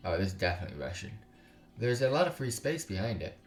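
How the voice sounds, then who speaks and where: A man's voice: on the low side, big pitch swings, fast. A man hosting a casual gaming live stream, chatting informally to viewers with slang and swearing. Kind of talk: casual live-stream chat with game text read aloud. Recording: roomy room echo, music behind. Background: music.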